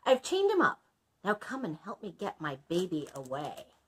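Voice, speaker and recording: A woman's voice: on the low side, wildly swooping pitch, normal speed, fairly bright tone, slight background noise. A woman voicing a polite, crafty male blacksmith and father in a storybook, deferential and matter-of-fact. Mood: urgent, worried.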